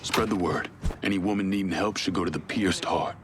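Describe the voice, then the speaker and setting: An actor playing a grim, determined vigilante in a video game, terse and hard-edged. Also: male voice, deep voice, a little fast, background noise, close to an everyday voice. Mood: resolute. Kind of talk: video game cutscene acting.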